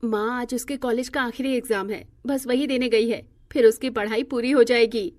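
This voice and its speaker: A woman's voice: a little high, fairly even intonation, normal speed, a little mellow, lightly acted. A woman playing a happy, grateful mother talking affectionately in a cartoon moral story. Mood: cheerful.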